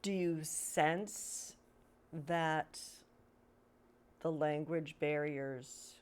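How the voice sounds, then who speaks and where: Slow, low-voiced woman host. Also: fairly even intonation, a little mellow. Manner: hesitant, filler-heavy questioning. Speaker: podcast host. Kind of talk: interview questions.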